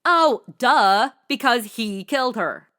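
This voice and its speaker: A woman's voice: on the high side, expressive, slow pace, fairly bright tone. An actress playing a sassy, blasé modern young woman turned amateur sleuth in a comedy audio drama, sarcastic, slangy and breezy. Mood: breezy, curious.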